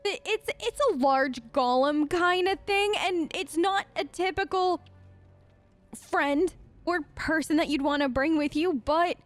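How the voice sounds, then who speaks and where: A woman's voice: extremely high pitch, a little slow, soft background music. A woman improvising casual in-character dialogue for a video game let's play, chatty and rambling with hesitations. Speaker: let's play host role-playing her game character.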